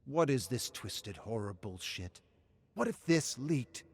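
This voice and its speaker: A man voicing an angry, scornful woman music producer in a dark story, scolding forcefully. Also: mid-range voice, sing-song, takes it slowly, a little hiss or hum. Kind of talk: acted dialogue in story narration.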